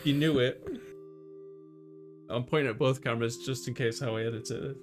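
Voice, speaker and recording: Man, gaming host, excitable, flustered, stammered repeats, playful banter, mid pitch, light music behind. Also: moderate pace. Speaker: gaming host and video editor. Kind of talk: playful let's-play banter.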